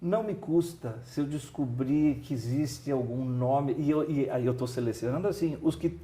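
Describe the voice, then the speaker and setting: Male interview host from southern Brazil, earnest and rallying, with a mellow, darker tone. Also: medium pitch, normal speed. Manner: earnest, rallying listeners to support culture. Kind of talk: conversational interview.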